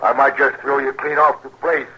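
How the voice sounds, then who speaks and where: An American actor playing a mean, sneering frontier husband in a 1950s radio western, with crude rustic speech. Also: male voice, mid-range voice, flat delivery, moderate pace, lightly acted. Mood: menacing. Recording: dull, noisy old radio recording.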